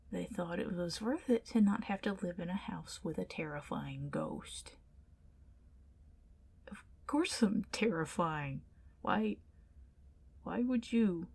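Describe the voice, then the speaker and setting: A woman's voice: mid-range voice, gravelly, mellow, breathy edge, exaggerated voice. A woman playing an indignant, flustered tsundere ghost in an ASMR roleplay, stammering and defensive as she protests. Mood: flustered, unsure.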